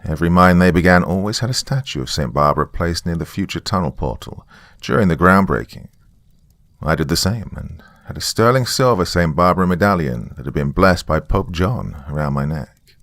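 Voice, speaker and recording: A man with a very deep voice narrates a dramatic first-person tale. His delivery is deliberate and folksy, and the recording is dry and close. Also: animated, a bit bright, no room sound.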